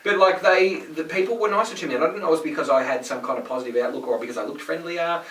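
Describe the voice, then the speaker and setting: A man's voice: on the high side, rapid-fire, fairly bright tone. A man hosting a podcast, chatting casually and telling stories with lots of swearing, acting out the people in them. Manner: swearing storyteller, acts out people in his stories. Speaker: podcast host. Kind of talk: casual chat. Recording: echoey room, mic at a distance.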